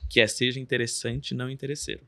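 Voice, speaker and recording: A man with a Brazilian Portuguese accent who hosts a podcast, asking questions in a relaxed, chatty way full of filler words. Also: mid-range voice, fast, vocal fry, dry recording. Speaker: podcast host. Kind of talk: casual podcast interview.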